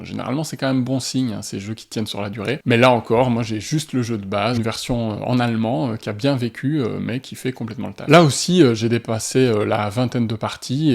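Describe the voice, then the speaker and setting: A man talks through board games he loves, casual and enthusiastic, with frequent hesitations as he gathers his thoughts. His mid-pitched voice carries a gravelly edge of vocal fry. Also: fairly quick, few pauses, a little mellow. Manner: enthusiastic, frequent "euh" fillers. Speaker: board game channel host. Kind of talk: casual review monologue to camera.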